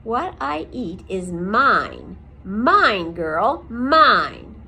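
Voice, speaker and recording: A woman's voice: fairly high pitch, very animated, unhurried, slight background noise. A woman reading aloud and voicing a menacing old male owl who shrieks, demands and threatens. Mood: shrieking.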